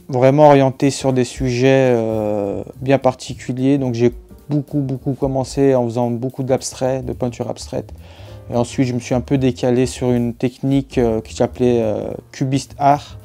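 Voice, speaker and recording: A man in his thirties from the Lyon region of France talks about his art in a hesitant, searching way, often pausing and starting sentences over. His voice is soft and mellow at an average pitch, with faint music playing behind him. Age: 30s. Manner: hesitant, many fillers and restarts. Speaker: painter interviewed about his work. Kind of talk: casual spoken interview answers.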